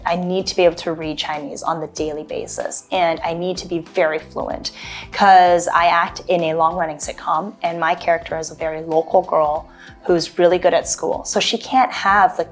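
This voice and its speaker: A woman from Toronto, an actor chatting loosely as a guest, giving advice with many ums and restarts. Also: fairly low pitch. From Toronto, Canada. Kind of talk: casual interview advice.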